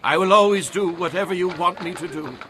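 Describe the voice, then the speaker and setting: Obliging high-voiced male servant. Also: brisk, bright, forceful tone, a little hiss or hum. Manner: polite, eager to please. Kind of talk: dramatized audio Bible acting.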